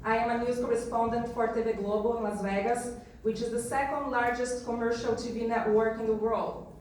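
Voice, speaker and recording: A woman's voice: fairly high pitch, fairly even intonation, brisk, darkish tone, recorded from a distance, slight background noise. Brazilian woman speaking in an echoey hall. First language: Portuguese. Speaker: graduation student speaker. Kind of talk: prepared commencement speech. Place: large hall. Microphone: PA heard from back of room.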